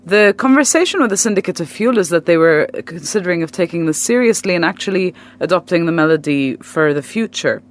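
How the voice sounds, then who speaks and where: A woman's voice: on the low side, animated, a little fast, hardly any pauses. A woman speaking as an artist guest in a casual radio interview, explaining things in an articulate, conversational way. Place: radio studio.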